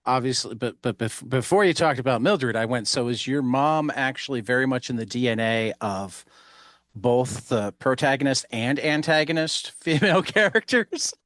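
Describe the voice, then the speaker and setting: A man's voice: mid-range voice, lively intonation, moderate pace, fairly bright tone, dry recording, clean studio sound. A man hosting a podcast, rambling and joking casually, often laughing. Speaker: podcast host.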